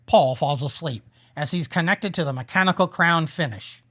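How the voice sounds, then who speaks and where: A woman's voice: deep voice, moderate pace, a bit bright. A woman narrating stage action in a scripted audio drama.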